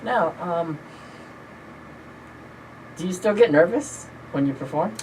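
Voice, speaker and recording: Woman hosting college radio, low voice, kinda casual, hissy recording. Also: expressive, takes it slowly, darkish tone. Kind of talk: radio interview. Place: small radio studio. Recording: noisy background hiss.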